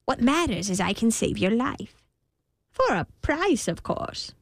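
A woman acts out a sly, snappish little male imp from a storybook. The voice sits high and leaps around animatedly, stretching words in a wheedling, smug way. The sound is close and dry. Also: very animated, measured pace, gravelly, dry recording, exaggerated voice. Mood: sly, teasing. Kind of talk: storybook character voice.

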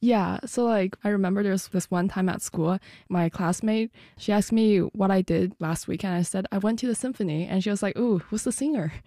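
Teen girl guest, soft and giggly, warm fry-tinged voice. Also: mid-range voice, a little fast, hardly any pauses, no room sound, studio quality. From United States. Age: teens. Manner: soft-spoken, giggly, many "like" fillers. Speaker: guest musician. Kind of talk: casual interview.

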